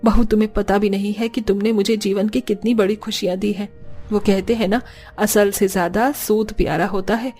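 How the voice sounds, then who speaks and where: A woman's voice: mid-range voice, steady tone, moderate pace, warm tone, close to an everyday voice. Elderly mother-in-law for a cartoon woman acting, devout and protective, earnest delivery, faint music. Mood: joyful, devout. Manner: soothing, earnest.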